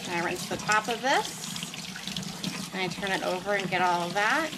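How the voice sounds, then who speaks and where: A woman's voice: mid-range voice, animated, unhurried. A woman casually explaining her kitchen routine step by step in a plain, practical way with some fillers. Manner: plain, practical, talks while working with fillers. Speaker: homesteader showing her routine. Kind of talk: casual how-to narration. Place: home kitchen at the sink. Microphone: camera mounted above the sink. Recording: running water noise behind.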